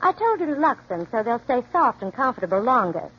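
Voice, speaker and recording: Female American spokesperson, 1940s radio ad, very lively intonation, dull vintage sound. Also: a little high, brisk. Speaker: commercial spokeswoman. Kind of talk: scripted 1940s radio ad dialogue. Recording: muffled old radio broadcast, hiss.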